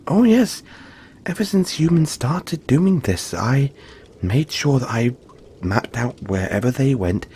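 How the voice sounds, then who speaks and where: Man playing a gentle, slow-talking yeti boy. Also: mid-range voice, slight background noise. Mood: eager, proud. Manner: soft-spoken, reassuring, halting, often breathy near-whisper. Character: gentle, friendly, shy cuddly young male yeti. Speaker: audio roleplay performer. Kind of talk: intimate audio roleplay addressed to the listener.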